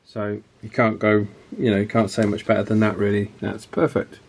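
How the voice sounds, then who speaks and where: A man giving a casual, hands-on repair demonstration, chatty and unhurried, thinking aloud as he works. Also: fairly low pitch, flat delivery, gravelly, background noise. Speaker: radio repair video presenter. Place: indoor electronics repair bench.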